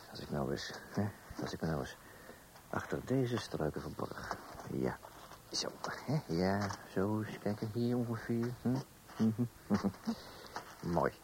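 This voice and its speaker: An actor playing a fussy, self-assured middle-aged husband in a Dutch radio play, with a Netherlands accent and hesitant, repetitive phrasing. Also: male voice, low voice, background noise. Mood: muttering, sly. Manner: self-assured, hesitant little ehs and repeated words. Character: fussy, indignant middle-class husband guarding his property.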